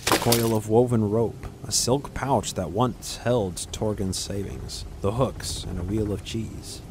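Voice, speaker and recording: A man's voice: on the low side, steady tone, a little slow, background noise. A man narrating a scripted fantasy audiobook in a dramatic storytelling style.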